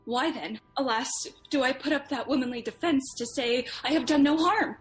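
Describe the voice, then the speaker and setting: Woman playing high bright anguished shakespeare mom, noble, emotional, audio drama with faint music. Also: normal speed, lightly acted. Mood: frightened. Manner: theatrical, emotional, declamatory. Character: anguished young noble mother, bitter and frightened. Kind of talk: Shakespearean radio drama acting.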